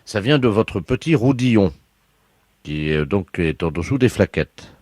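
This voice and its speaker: A man playing a matter-of-fact town road-works official in a deadpan prank call, colloquial, insistent and faintly exasperated. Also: on the low side, measured pace, dry recording. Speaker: prank caller.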